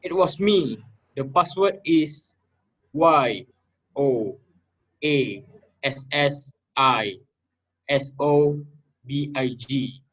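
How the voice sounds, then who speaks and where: A man playing a bitter, defensive student with a guilty secret, in a halting amateur reader's theater delivery. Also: mid-range voice, a bit bright, poor audio. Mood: angry, defiant.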